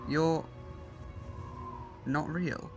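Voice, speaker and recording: A man's voice: on the high side, steady tone, drawn-out words. Man playing stiff, formal would-be cyborg boy over music. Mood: confused, unsettled. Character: frightened little boy who believes he is a killer cyborg. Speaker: voice actor. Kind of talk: fan audio drama acting.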